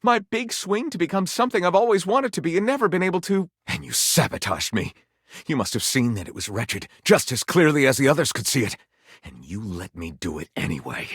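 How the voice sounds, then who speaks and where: A man's voice: fairly high pitch, big pitch swings, a little fast, a bit bright, husky, no room sound, clean studio sound, full character voice. A man voicing a theatrical, bookish living-library character in a video game, effusive and florid in diction. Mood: betrayed, bitter. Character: flamboyant, theatrical bookish living library, eager and easily wounded.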